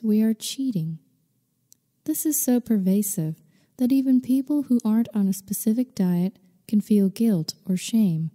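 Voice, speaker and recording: Woman guiding meditation, very dark mellow voice. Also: mid-range voice. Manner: soothing, unhurried, gentle instruction. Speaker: meditation guide. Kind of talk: guided meditation, slow scripted narration. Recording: long reverberant hall-like echo.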